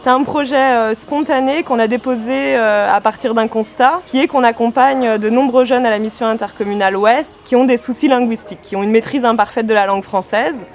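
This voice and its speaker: A woman's voice: a little high, fairly quick, hardly any pauses, slight background noise. A woman in a programme manager role, explaining her work in an interview.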